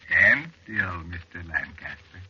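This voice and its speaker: Deep, politely menacing captor. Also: male voice, big pitch swings, breathy edge, heavily acted. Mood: calmly ominous. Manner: formal, measured, smiling. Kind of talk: vintage radio horror acting. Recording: dull, noisy vintage radio recording.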